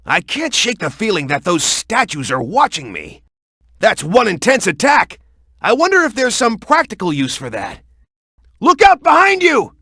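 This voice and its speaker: A man's voice: high voice, huge pitch swings, normal speed, sharp tone, slightly rough, no room sound, clean studio sound, heavily acted. A man playing a tough, hot-headed young hero in a video game, speaking in a brash, urgent, punchy way. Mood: wary, serious, then urgent, alert. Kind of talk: video game character voice clips.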